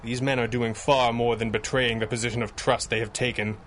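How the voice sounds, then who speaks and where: A man acts out a masked male crime-fighter from a 1930s-style radio drama. He is stern and watchful, delivering measured, formal lines in a mid-pitched voice. There is faint background noise. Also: flat delivery, fairly bright tone, close to an everyday voice. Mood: grave, determined. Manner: measured, formal, grave speech. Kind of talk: 1930s radio adventure serial acting.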